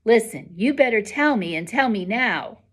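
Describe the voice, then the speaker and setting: A woman's voice: a little high, expressive, moderate pace, a bit bright. A woman voicing a bossy, indignant school girl with a blunt, demanding manner in a children's book.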